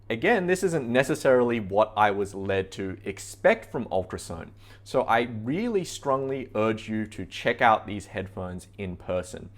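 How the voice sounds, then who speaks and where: A man with a fairly low voice presents products for a store. He talks as a reviewer addressing viewers directly.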